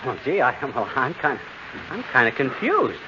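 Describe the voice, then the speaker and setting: Grumbling folksy husband, noisy old radio. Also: male voice, mid-range voice, wildly swooping pitch, normal speed. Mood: flustered. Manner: folksy slangy drawling grammar, sputtering when flustered. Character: grumbling, folksy husband, easily flustered and wisecracking. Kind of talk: 1940s radio comedy acting. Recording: noisy, muffled 1940s radio recording.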